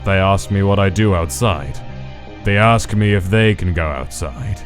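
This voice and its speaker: Cold, detached scientist , male actor: very deep, unhurried, clinical log entries for a dramatic dub, music underneath. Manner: slow, deliberate, clinical, detached. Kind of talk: dramatic comic dub narration.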